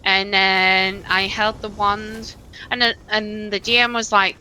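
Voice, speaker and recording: A woman's voice: medium pitch, flat delivery, slow pace, sharp tone, slight background noise, low-quality recording. A woman streamer chatting casually while gaming, rambling with many fillers and restarts into a desk microphone.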